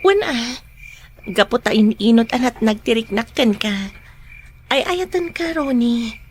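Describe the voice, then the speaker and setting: Woman acting soft-spoken grieving young woman, Ilocano accent, high voice, faint room noise. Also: lively intonation, measured pace. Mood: tender. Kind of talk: radio drama acting.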